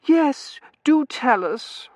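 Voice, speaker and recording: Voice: high-pitched, expressive, extremely slow, dry recording, voice pushed up, exaggerated voice. A narrator voicing a spoiled, affected young lady who coos in fluttery, prissy baby talk in a storybook reading. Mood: gushing, eager.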